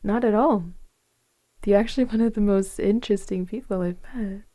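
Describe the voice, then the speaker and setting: A woman playing a gently flirtatious, affectionate woman in her forties in an intimate ASMR roleplay, speaking softly and breathily, often near a whisper, without hurry. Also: medium pitch, normal speed, natural voice. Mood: gently teasing. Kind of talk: ASMR romantic roleplay, intimate one-sided dialogue.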